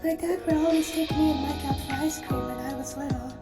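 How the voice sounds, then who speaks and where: A man voicing a sullen, wistful teenage robot girl in an animated-style story. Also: extremely high pitch, a little fast, recorded from a distance, loud music behind the voice, voice pushed up.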